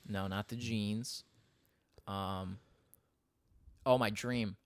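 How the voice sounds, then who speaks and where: A young man co-hosting a podcast, chatting casually in a quick, rambling way and laughing often. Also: on the low side, steady tone, no room sound, clean studio sound. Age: young adult. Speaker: podcast co-host. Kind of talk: casual chat. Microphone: studio podcast mic.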